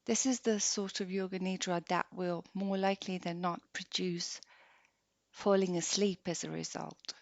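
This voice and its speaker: A woman guiding a meditation practice softly and unhurriedly, leaving long pauses between short phrases. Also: on the low side, steady tone, gravelly, dry recording. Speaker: yoga nidra meditation guide. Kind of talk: slow guided meditation. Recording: dull lo-fi close dry recording.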